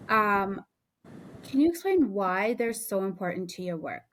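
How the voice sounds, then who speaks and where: A woman's voice: medium pitch, big pitch swings, unhurried, fairly bright tone, slight background noise. A woman hosting an interview, asking long, searching questions with hesitations. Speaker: host.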